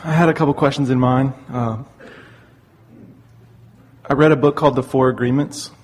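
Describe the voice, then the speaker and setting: A man asking an earnest personal question as an audience member, speaking in a hesitant, halting way. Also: mid-range voice, fairly even intonation, a little hiss or hum.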